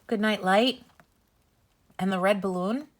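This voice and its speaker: A woman reading a bedtime picture book aloud for young children as a host, with deliberate phrasing. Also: mid-range voice. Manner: slow, deliberate phrasing for small children, drops into whispers.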